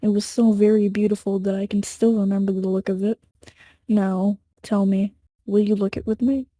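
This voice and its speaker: A woman playing a gentle, dreamy storyteller in an amateur table read, speaking softly. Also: medium pitch, flat delivery, moderate pace, no room sound, lightly acted. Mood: tender, wistful.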